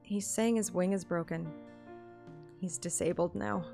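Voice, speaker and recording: A woman's voice: on the low side, fairly even intonation, measured pace, creaky, dark tone, soft background music. A woman voicing the teasing, plucky young heroine of a romantic fantasy game. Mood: teasing, concerned. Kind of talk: acted game dialogue.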